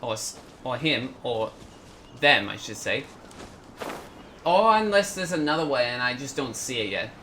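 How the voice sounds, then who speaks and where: A man hosting a gaming video, giving casual, halting commentary as he thinks aloud. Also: mid-range voice, very animated, sharp tone, slight background noise, low-quality recording.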